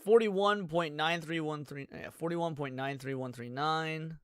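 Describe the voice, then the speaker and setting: A man's voice: fairly high pitch, a little slow, a bit bright, clear, resonant tone, no echo, clean studio sound. A man giving casual live reaction commentary to viewers, thinking aloud in an informal way. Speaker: streamer reacting to a video series.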